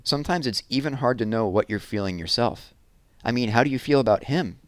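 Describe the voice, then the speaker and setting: A man voicing a gentle, tired, worried mother in a children's story. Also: mid-range voice, brisk, no room sound, voice pushed up, natural voice. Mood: concerned.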